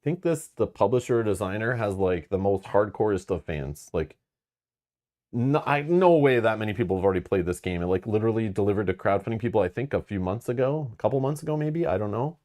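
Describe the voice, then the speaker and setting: Man with a very low voice, casual stream host, rambly, frank, lots of fillers. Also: animated, quick pace, warm tone. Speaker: board game channel host. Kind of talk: casual live-stream chat.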